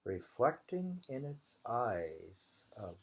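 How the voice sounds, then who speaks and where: A man reading short poems in an unhurried, halting way, repeating lines slowly and musing on them informally in a dull, lo-fi home recording. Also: mid-range voice, sing-song, slightly rough. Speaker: reader of a poetry anthology.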